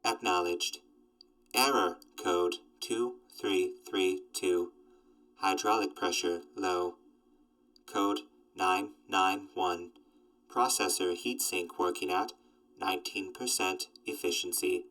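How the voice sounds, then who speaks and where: Low, slow deadpan robot. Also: male voice, distant mic, low-quality recording. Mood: flat, mechanical. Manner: deadpan, clipped system-announcement phrasing. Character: slow, literal robot companion, gloomily deadpan. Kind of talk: post-apocalyptic audio drama.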